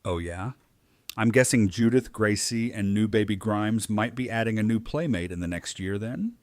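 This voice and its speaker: A man's voice: fairly low pitch, moderate pace, no echo, lightly acted. A man playing an easygoing, teasing friend in an audio drama. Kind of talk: fan audio drama acting.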